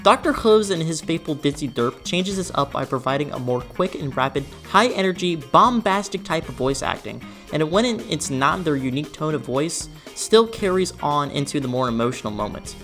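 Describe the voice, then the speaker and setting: A person delivering a scripted, opinionated video essay in an expressive, emphatic way with conversational asides. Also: mid-range voice, moderate pace, few pauses. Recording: music behind the voice. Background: background music.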